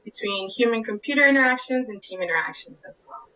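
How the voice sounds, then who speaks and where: Young female intern, encouraging, bright tone, distant mic. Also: fairly high pitch, quick pace. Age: young adult. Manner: encouraging, thoughtful. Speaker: interviewed intern, psychology student. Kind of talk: casual video-call interview. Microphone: video call.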